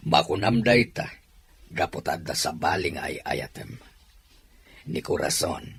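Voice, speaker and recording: A man playing a concerned older father counselling his grown son in an audio drama, with a gravelly voice. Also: very deep voice, very animated, measured pace, fairly bright tone, slight background noise, full character voice. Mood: admonishing. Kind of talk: dramatized audio story acting.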